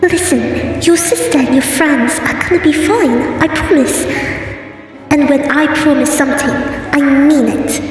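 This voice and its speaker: A woman voicing a youthful, earnest, excitable female pony in a cartoon comic dub, chatty in manner. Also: sits high, unhurried. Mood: reassuring. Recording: echoey reverb, distant, music behind. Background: music.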